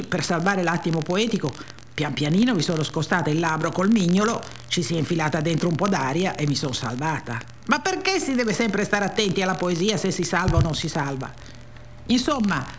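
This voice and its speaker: A woman's voice: lowish voice, expressive, brisk, slight background noise, natural voice. A woman giving a dramatic reading as an ironic, scatterbrained woman who muses aloud and questions herself in a colloquial monologue. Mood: puzzled musing. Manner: musing, self-questioning, colloquial.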